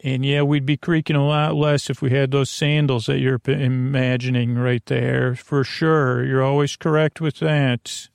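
An American man hosting a bedtime podcast, rambling in a soothing, creaky voice with hesitant, meandering repetitions. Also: mid-range voice, little pitch movement, measured pace, hardly any pauses, dry recording, clean studio sound. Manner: hesitant, meandering, repeats himself; lulling, soothing. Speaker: podcast host.

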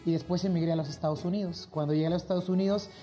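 A man's voice: sits high, fairly even intonation, very fast, mellow, soft background music. A Mexican man with a Mexican accent, a guest singer chatting upbeat in an interview and repeating phrases for emphasis. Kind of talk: casual interview chat.

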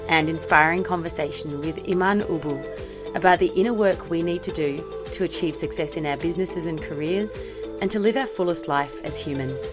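Female podcast host, lower voice, measured scripted intro over a clear music bed. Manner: clear, measured read-out delivery.

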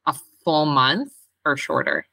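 Very deep-voiced woman with a bright edge. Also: wildly swooping pitch, measured pace, gravelly, dry recording. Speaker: podcast host. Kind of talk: casual chat.

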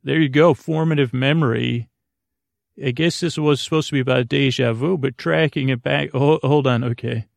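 A man with a creaky, gravelly voice in a close, dry recording. He hosts a bedtime podcast, wandering through improvised thoughts in halting, meandering sentences that trail off and restart. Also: mid-range voice, fairly even intonation, no echo. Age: 40s. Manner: halting, meandering, stumbling over his own sentences. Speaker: podcast host. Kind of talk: rambling improvised bedtime monologue.

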